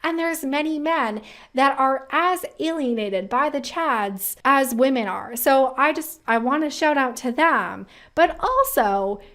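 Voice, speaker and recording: Female career-advice host, thirties, slightly high pitch, animated conversational ranting to camera. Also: a little slow. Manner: animated, emphatic, conversational ranting with rhetorical questions. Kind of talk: casual opinionated commentary to camera.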